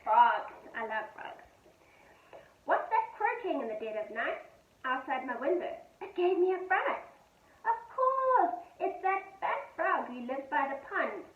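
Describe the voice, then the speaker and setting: A woman with a high voice reads children's verse aloud in a sing-song, teacherly way. Her pitch swoops expressively. The recording is roomy and echoing, as if she stands some way from the microphone. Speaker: children's storytime host and teacher. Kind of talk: reading children's poems aloud. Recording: roomy, distant, dull-sounding microphone.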